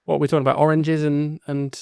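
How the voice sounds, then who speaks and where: A British man hosts a webinar for teachers over a video call. He is upbeat and jokey and thanks everyone a lot. His fast, smooth delivery sits dry and close. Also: on the high side, level tone, dark tone, no room sound. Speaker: webinar host. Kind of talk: casual webinar hosting.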